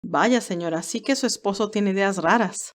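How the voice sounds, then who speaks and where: A woman's voice: mid-range voice, moderate pace, natural voice. A woman voicing a friendly, plain-spoken male farmer who drives a cart in an audio story. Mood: amused, frank. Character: obliging male farmer driving a milk cart.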